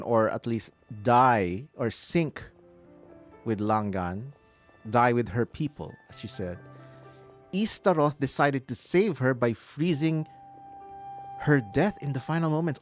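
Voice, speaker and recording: A man giving live playthrough commentary as a gaming streamer, musing aloud and breaking into exclamations. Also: medium pitch.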